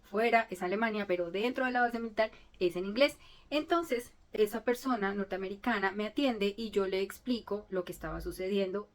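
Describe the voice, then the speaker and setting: A woman's voice: medium pitch, a little mellow. A middle-aged woman, a native Spanish speaker, telling a personal story in an earnest, emotional way, with frequent restarts. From Colombia. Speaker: vlogger telling her story.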